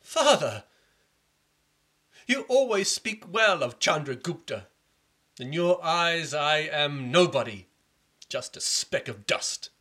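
A man voicing a jealous, petulant older prince who complains loudly about being overlooked. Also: mid-range voice, big pitch swings, moderate pace, breathy edge, full character voice. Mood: wounded. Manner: whining, resentful outbursts.

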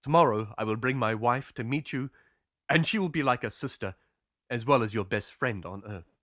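A storyteller voicing an earnest-sounding but evasive male sailor in an emphatic, deliberate way. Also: medium pitch, a bit bright, no room sound, studio quality, lightly acted. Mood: confessing.

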